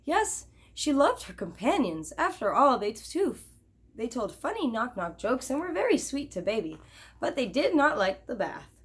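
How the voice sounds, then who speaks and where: A woman reads a picture book aloud as a teacher would for children. Her voice sits fairly high and moves up and down a great deal. She is expressive and drops in chatty asides to her young listeners. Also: normal speed, breathy edge.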